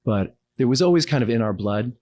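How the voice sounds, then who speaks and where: A man's voice: medium pitch, lively intonation, a little fast, a little mellow. A man from Los Angeles with an American accent, talking casually and reflectively as an interview guest. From Los Angeles, United States.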